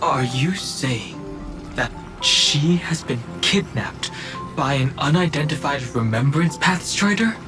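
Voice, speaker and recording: A man's voice: fairly high pitch, expressive, slow, music in the background. A man playing a stoic, serious young adventurer in a video game, earnest, formal and restrained. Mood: troubled. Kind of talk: video game character voice acting.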